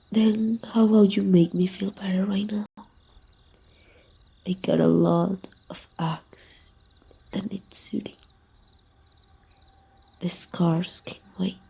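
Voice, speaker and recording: A woman from Mexico voicing a teasing, tender female villain in an intimate ASMR roleplay, soft and breathy with some whispering. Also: mid-range voice. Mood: seductive, intimate.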